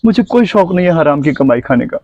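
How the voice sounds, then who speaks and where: A man's voice: a little high, sing-song, fast talker, a little mellow, no echo, dull audio. A man playing a poor, honest middle-aged tailor and father driven to beg, plaintive and wounded, in an amateur moral drama. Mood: hurt, defensive. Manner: plaintive, pleading. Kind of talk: amateur moral short-film acting.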